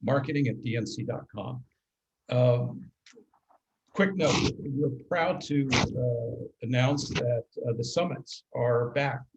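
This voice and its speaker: A man hosting a webinar, giving introductions and casual asides in a halting way with frequent throat clearing. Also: medium pitch, heavy background noise. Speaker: webinar host and compliance consultant.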